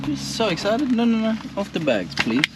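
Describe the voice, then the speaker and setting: Young aussie bloke vlogging on the road, chatty and relaxed, slightly high voice, loud wind and traffic behind. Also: male voice, normal speed. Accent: Australian. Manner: chatty, easygoing, talks to his dog and viewers. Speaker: travel vlogger. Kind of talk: casual travel vlog. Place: outdoors on a beach and in town. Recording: outdoor camera audio with loud background noise.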